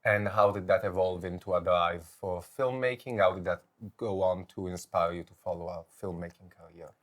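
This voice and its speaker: A man working as a reporter, asking a film director polite, measured interview questions. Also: sits low.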